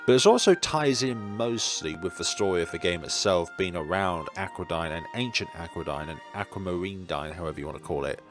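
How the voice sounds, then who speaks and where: A man's voice: sits low, animated, a little fast, hardly any pauses, music in the background. A man hosting a gaming video, giving casual commentary as he reads through a visual novel.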